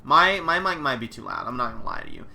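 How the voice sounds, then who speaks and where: Male streamer with a cold, nasal and bright, loose rambling chatter, slight background hiss. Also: mid-range voice, fast talker, gravelly. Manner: rambling, loose and excitable, full of gamer slang. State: congested from a cold. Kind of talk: casual live game stream chat. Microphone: desk mic.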